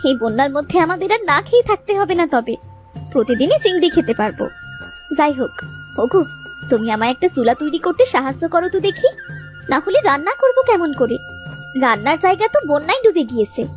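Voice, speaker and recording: A woman's voice: high voice, very animated, a little fast, faint music behind. A woman playing a caring, fretful village mother and wife in a Bangla cartoon.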